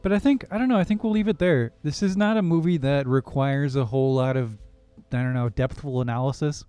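A man's voice: mid-range voice, fairly quick, warm tone, smooth. A young man co-hosting a casual film podcast, talking in a rambling, jokey way. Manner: laid-back, rambling, jokey. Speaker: podcast co-host. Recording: faint music behind.

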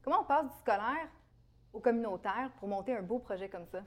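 A woman's voice: a little high, animated, brisk. A woman hosting an interview, asking conversational, curious questions. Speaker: host, interviewer.

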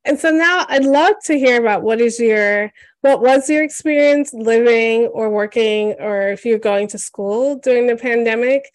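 Interviewer: woman, warmly encouraging questions, dry recording. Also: a little high, normal speed, few pauses, no room sound. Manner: encouraging, gentle, affirming. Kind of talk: oral history interview.

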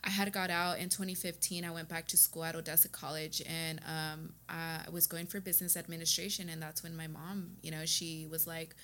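An American woman from Texas with a bright, slightly low voice. She speaks as a podcast guest in a casual, conversational way. Her delivery is informal and earnest, and she repeats words for emphasis. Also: flat delivery, brisk, few pauses. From Texas, United States. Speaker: podcast guest, beauty business owner and educator. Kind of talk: casual conversational interview, personal storytelling.

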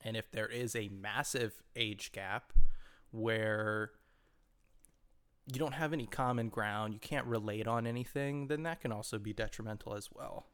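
A man in his twenties, co-hosting a podcast, talking in relaxed, reflective, hedged run-on sentences. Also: fairly low pitch, a little slow, clear, resonant tone. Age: 20s. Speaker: podcast co-host. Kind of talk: casual chat.

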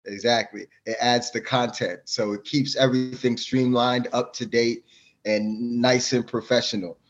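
A man's voice: mid-range voice, level tone, measured pace, highs cut off, soft background music. A Jamaican-born man talking casually as a podcast guest, explaining things at length in an easygoing way.

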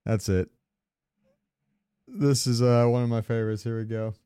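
A man chats casually as a gaming podcast co-host, joking as he thinks aloud. His medium-pitched voice is clear and resonant, captured close with almost no room sound. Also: fairly even intonation, moderate pace, warm tone, dry recording.

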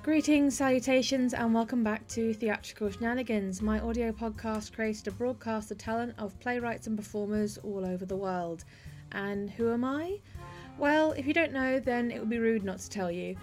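A woman's voice: a little high, moderate pace, a little mellow, faint music behind. A woman with an English accent, speaking as the host of an arts podcast in introductions and interview questions. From Devon, UK.

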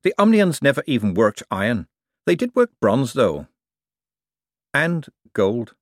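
Brisk woman as a man reads her, storybook character, insistent, self-assured, higher-pitched, close dry sound. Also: wildly swooping pitch, no room sound. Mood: brisk, evasive. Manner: explanatory, insistent. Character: brisk, stubborn, self-assured young woman explaining herself.

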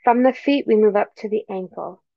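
A woman leading a guided meditation with gentle, soothing, measured phrasing and pauses between instructions. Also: medium pitch, dry recording, lo-fi sound.

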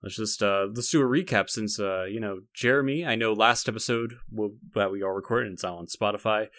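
A man's voice: fairly low pitch, brisk, fairly bright tone, no echo, clean studio sound. A man hosting a podcast in casual chat, talking in a rambling, hesitant way. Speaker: podcast host.

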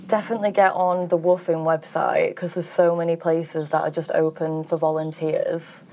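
A woman speaks in a lowish voice, chatty and easygoing. She fills her sentences with small fillers and laughs easily as she answers. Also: level tone, moderate pace, a little mellow. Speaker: farm grower. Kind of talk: casual interview answers.

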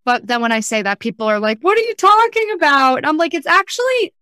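Bright, high-voiced young woman. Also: sing-song, quick pace, dry recording, studio quality. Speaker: podcast guest, freelance entrepreneur. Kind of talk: casual interview chat.

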